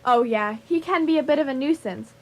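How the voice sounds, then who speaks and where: A confident woman who runs a dojo, played by an actress in a radio comedy. Her voice is high-pitched. She is direct, patient and probing, with a flirtatious streak. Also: a little fast. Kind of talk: radio theatre comedy acting.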